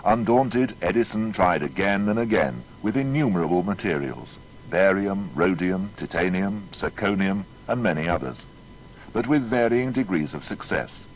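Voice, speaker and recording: A man's voice: sits low, animated, normal speed, slight background noise, low-quality recording. A man narrating a scripted biography in a dramatic, rhetorical manner.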